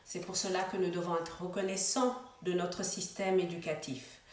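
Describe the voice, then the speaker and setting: A woman's voice: on the low side, little pitch movement, normal speed, roomy sound, crowd noise. A woman whose first language is Swahili, hosting an earnest inspirational vlog and switching between English and French. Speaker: vlog host. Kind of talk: inspirational bilingual monologue.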